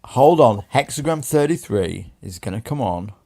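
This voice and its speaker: A man hosting a casual live stream, excitable and hyping up callers. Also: mid-range voice, normal speed, gravelly. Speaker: stream host.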